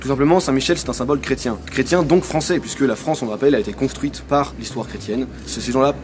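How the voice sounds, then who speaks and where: Fast-talking young man, noisy street. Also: medium pitch. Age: 20s. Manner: hesitant, many fillers. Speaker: activist group president. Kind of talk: street interview. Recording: loud street noise.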